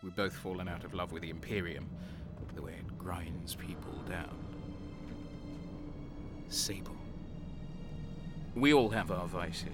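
An actor playing a bitter, violent male renegade guardsman, narrating slowly in the first person. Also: low voice. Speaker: narrator and lead. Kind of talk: audio drama narration. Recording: music underneath.

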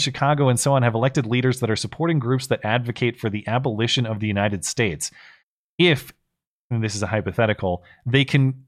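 A man speaks as co-host of a political livestream. He reads out messages from viewers and adds casual commentary. His delivery is conversational and measured, a little halting as he forms his thoughts. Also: mid-range voice.

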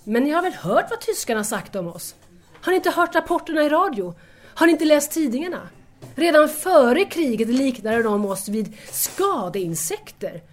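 A woman's voice: sits high, sing-song. A young actress playing an anxious, emotional woman in wartime Paris in an audio drama, speaking earnestly. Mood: agitated, afraid. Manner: earnest, agitated.

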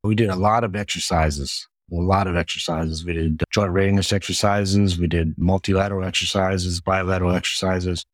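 Low-voiced man recounting in long lists. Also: normal speed, hardly any pauses, no echo, studio quality. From United States. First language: Hungarian. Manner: steady, recounting in long lists with frequent word repeats. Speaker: guest, retired Air Force medic. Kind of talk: podcast interview answers.

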